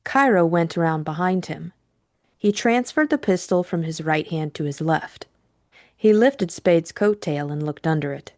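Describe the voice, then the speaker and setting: Low-voiced woman narrator, close dry recording. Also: expressive, unhurried, a little mellow, no echo. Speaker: co-host and audiobook narrator. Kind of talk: scripted introduction and novel narration.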